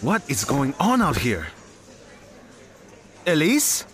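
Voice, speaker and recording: A man's voice: fairly high pitch, wildly swooping pitch, takes it slowly, full character voice. An actor playing a blunt, exasperated male shop owner and wry storyteller in an audio drama. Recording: clear background noise.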